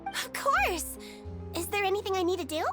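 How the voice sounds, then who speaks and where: A sweet little Melusine creature. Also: female voice, extremely high pitch, animated, normal speed. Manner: polite, earnest, proud of her special eyes. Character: small cheerful female Melusine creature, earnest and polite. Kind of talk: game voice acting. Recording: game dialogue over music.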